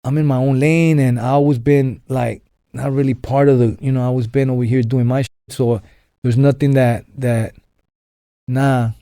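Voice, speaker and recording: A man's voice: medium pitch, fairly even intonation, normal speed, dark tone, smooth, no room sound, studio quality. A young man from Los Angeles, a podcast guest telling his story in a rambling way, full of fillers and restarts. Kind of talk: casual storytelling interview.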